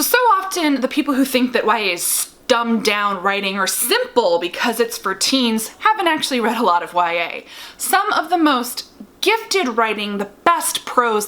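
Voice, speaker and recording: Female vlogger, high bright voice, conversational and opinionated explainer, leans hard on key words. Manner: emphatic, stresses key words by repeating and drawing them out. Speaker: vlogger giving advice on books and writing.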